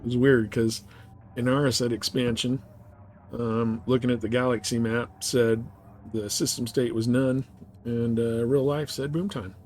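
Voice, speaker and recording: A man's voice: mid-range voice, flat delivery, measured pace, a little mellow, slight background noise. A man chatting casually as he streams a game, laid-back, slangy and prone to swearing. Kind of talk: casual chat with viewers while playing.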